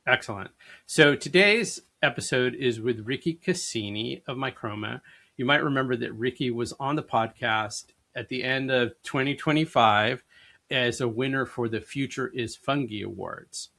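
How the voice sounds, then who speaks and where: A man's voice: mid-range voice, moderate pace, gravelly, sharp tone. A man with an everyday mid-range voice hosts a podcast, setting up the episode and leading into his questions. He sounds upbeat and engaged. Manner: upbeat, introduces and asks questions. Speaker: podcast co-host. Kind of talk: podcast hosting.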